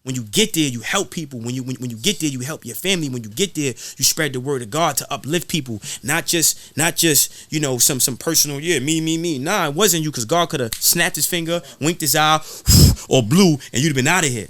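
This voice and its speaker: A man's voice: a little high, a little fast, hardly any pauses, a bit bright, no echo. A man speaking in an impassioned, preachy podcast style, repeating phrases for emphasis. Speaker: guest rapper being interviewed.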